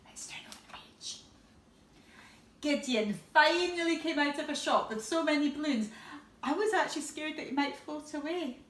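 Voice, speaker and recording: A woman's voice: high-pitched, fairly bright tone. A woman reading a storybook aloud to young children, expressively, with questions and asides to her listeners. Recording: roomy echo, distant from the mic.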